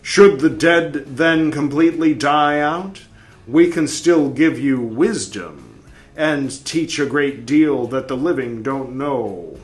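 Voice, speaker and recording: A man reading as an old male prophet who pleads for the dead in a measured, lamenting way. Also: medium pitch, soft background music, pitched above the performer's own voice, natural voice. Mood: pleading.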